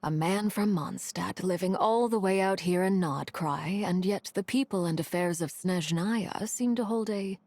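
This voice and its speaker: An actress playing a composed, guarded woman of rank in a video game, speaking in a measured, formal manner. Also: mid-range voice, darkish tone, no echo. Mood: cool, composed. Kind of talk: video game character acting. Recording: background music under the voice.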